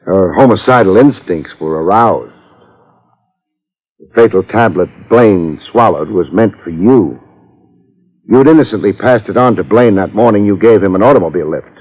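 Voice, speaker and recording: Old-radio private eye: American, low voice, clipped, sardonic, tough, muffled sound. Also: male voice, animated, normal speed, a little mellow. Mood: firm, explanatory. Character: wry, tough male private detective. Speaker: radio drama actor. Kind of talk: 1950s radio detective acting.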